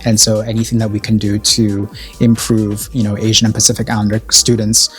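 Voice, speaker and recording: A man's voice: fairly low pitch, completely flat delivery, fairly quick, fairly bright tone, soft background music. A man who was born in Korea, a college program director, speaking earnestly and reflectively in an interview with many fillers. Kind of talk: interview talking about an event.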